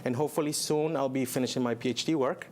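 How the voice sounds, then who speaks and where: A middle-aged man from Chile, a native Spanish speaker, answering interview questions in an earnest, measured way with frequent fillers. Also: medium pitch, fairly even intonation, darkish tone, slight background noise. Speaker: college administrator guest.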